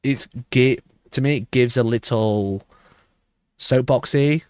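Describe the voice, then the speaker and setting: Hoarse male streamer, mid-pitched, rambling casual chat, dry close sound. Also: slow pace, darkish tone, no echo. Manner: rambling, restarts mid-sentence. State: rough, hoarse voice. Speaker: livestream host.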